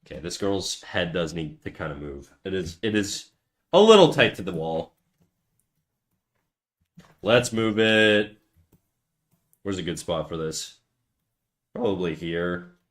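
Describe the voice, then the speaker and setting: A man's voice: low voice, lively intonation, slow pace, pauses often. Dude casually streaming while he draws, cussing a lot, self-deprecating, keeps restarting sentences. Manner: rambling, profane, self-mocking, with frequent restarts. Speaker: livestreamer and artist. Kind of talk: casual livestream chat while drawing.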